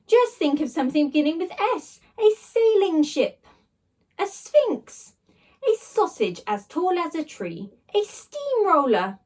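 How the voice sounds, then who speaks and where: Storybook slime monster, played by a woman: posh, booming, playful grandee, high and very animated. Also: unhurried, heavily acted. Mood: grand, eager. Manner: grand, theatrical, exclamatory. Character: huge blobby slime creature, posh, booming, playful, dramatic.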